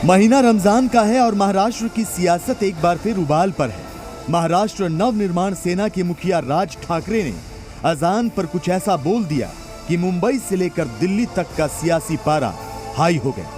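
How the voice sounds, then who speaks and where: A man with a high voice narrates a scripted news report. His delivery is dramatic and emphatic, and faint music plays underneath. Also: lively intonation, normal speed, darkish tone.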